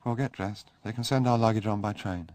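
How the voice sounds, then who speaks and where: A man's voice: on the low side, brisk, darkish tone, no room sound, pitched above the performer's own voice, natural voice. A man putting on a distraught young aristocrat. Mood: sullen. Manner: emotional, pleading, clipped upper-class delivery. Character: young English aristocrat man, drunk, wretched and sullen.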